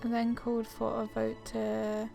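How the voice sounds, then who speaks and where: Woman, game playthrough commentary, casual and chatty, unhurried pace, creaky voice, faint music behind. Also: on the high side, completely flat delivery. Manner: chatty, informal. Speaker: gamer commentator.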